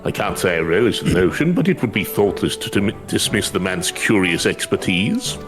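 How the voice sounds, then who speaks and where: A man's voice: low-pitched, very animated, normal speed. An elderly male scholar from a fantasy game, acted out by a man, speaks in courteous, archaic phrases. He is earnest and anxious, hesitating as he works through his thoughts, with music playing behind him. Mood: tentative, persuasive. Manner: formal, archaic, hesitant wording. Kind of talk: fantasy game dialogue read aloud.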